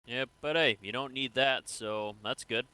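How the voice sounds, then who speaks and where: A man's voice: mid-range voice, a little slow, pushed and bright, clear, resonant tone. A man improvising a casual, conversational interrogator role in online game voice chat. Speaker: roleplayer acting as an interrogator.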